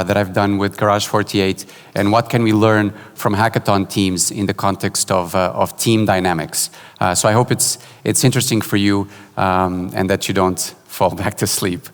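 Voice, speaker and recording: A man from Portugal giving a conference keynote, relaxed and joking, with many fillers and restarts. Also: fairly low pitch, clean tone. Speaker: keynote speaker. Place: large conference hall. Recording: hall reverb.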